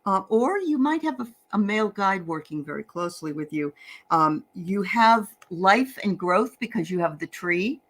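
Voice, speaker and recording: A woman's voice: medium pitch, lively intonation, moderate pace. A woman from the Boston area with a Boston accent, hosting a casual livestream tarot reading in a chatty, upbeat way. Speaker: tarot reader and livestream host.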